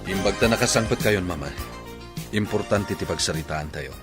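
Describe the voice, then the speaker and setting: Man acting deep-voiced troubled husband in radio drama. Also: moderate pace, loud music behind the voice, lightly acted. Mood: grave, disappointed. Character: troubled husband, an adult son confiding in his mother. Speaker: voice actor in an audio drama.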